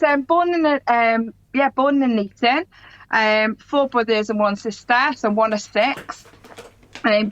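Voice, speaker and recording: A woman's voice: on the high side, fairly bright tone, dry recording, dull audio. A middle-aged woman, chatting as a guest in a rambling, laughing way. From English Midlands. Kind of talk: casual conversation.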